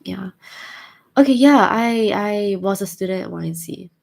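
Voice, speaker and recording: A woman's voice: medium pitch, moderate pace, gravelly, a little mellow. A young woman with a Singaporean accent, thoughtfully answering viewer questions in a casual chat, hesitant with many fillers. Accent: Singaporean English.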